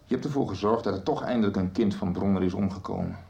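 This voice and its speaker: An actor playing a cold, calculating schemer in a radio soap. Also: male voice, on the low side, fast talker, dark tone, lo-fi sound, lightly acted. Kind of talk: 1990s radio drama acting.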